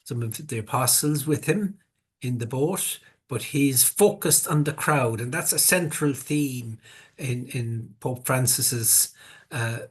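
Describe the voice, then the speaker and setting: A man hosting a parish workshop, talking in a measured way and repeating words as he searches for them. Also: mid-range voice, slightly rough. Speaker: workshop host.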